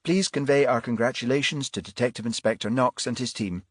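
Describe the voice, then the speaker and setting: A man voicing a brisk, formal woman television reporter with polite, polished delivery in an audiobook. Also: medium pitch, slightly rough, no room sound, studio quality, voice pushed up, close to an everyday voice. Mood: formal, inquisitive. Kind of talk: audiobook character voice.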